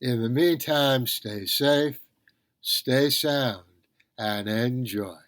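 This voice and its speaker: A man's voice: medium pitch, very slow, fairly bright tone. A man speaking as a podcast host, giving informal announcements in a monologue.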